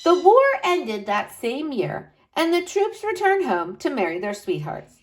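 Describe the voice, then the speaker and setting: A woman reads a children's picture book aloud with a fairly high voice. Her pitch rises and falls widely, and she stretches key phrases out for drama. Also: rough recording. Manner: expressive, slow dramatic emphasis with wide pitch swings. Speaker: storyteller reading to children.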